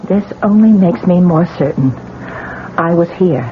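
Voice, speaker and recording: A woman's voice: on the low side, a little slow, slight background noise, close to an everyday voice. An actress playing a shaken young wife who insists on what she saw and fears she is losing her mind, in a 1970s radio drama. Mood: insistent. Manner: pleading, insistent, near tears. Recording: tinny old radio broadcast.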